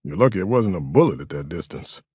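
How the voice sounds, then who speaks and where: A man plays a stern police officer in an audiobook. He is snappy and commanding with a sardonic streak, his husky voice moving widely in pitch. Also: very animated, fast, warm tone, no room sound, clean studio sound, exaggerated voice. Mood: reassuring. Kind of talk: audiobook character acting.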